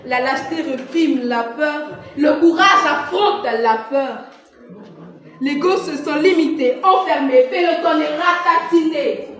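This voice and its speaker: Echoey, high-pitched young woman declaiming poetry. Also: slow. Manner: theatrical, emphatic, with dramatic swells and hushed drops. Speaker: student performer in a public-speaking contest. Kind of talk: declaimed slam poetry recital. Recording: echoey room, distant microphone.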